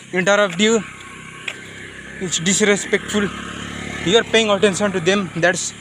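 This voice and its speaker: A man with a Nepali accent speaking off the cuff in a personal monologue, halting and rambling, often repeating his phrases. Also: sits high, a bit bright, a little hiss or hum. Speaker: vlogger.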